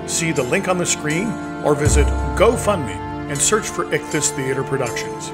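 A male announcer reads scripted credits. His voice sits a little high, and loud music plays behind him. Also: lively intonation, normal speed.

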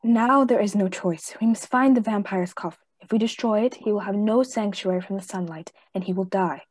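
Woman acting husky, grave Professor Van Helsing. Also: mid-range voice, a little mellow, natural voice. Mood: resolute. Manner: formal, deliberate, commanding. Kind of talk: theatrical radio play acting.

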